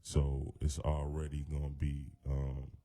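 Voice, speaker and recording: A man with a very deep voice, a hip-hop artist talking as a guest in a radio studio in a loose, laid-back way full of fillers. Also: monotone, unhurried, mellow. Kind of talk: casual radio interview.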